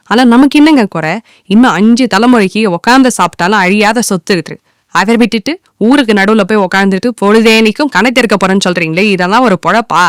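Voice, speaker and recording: A woman voicing an exasperated, nagging, sarcastic wife in an animated moral story. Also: mid-range voice, dry recording, clean studio sound, close to an everyday voice. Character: exasperated, nagging wife scorning her husband's plans.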